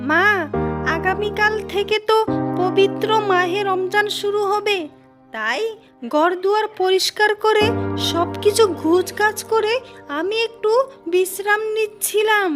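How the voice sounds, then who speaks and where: A sweet, dutiful young housewife in a cartoon tale. The voice is extremely high, with an earnest, deferential manner. Loud guitar music runs underneath. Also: fairly even intonation, a little slow. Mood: respectful, obliging. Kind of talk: cartoon story voice acting.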